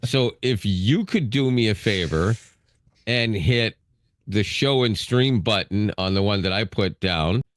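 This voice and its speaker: A man co-hosting a casual podcast, animated and teasing, talking sports and tech. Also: a little slow, no room sound, studio quality. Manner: animated, teasing, jumps up in pitch when ribbing. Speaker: podcast co-host. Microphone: studio microphone.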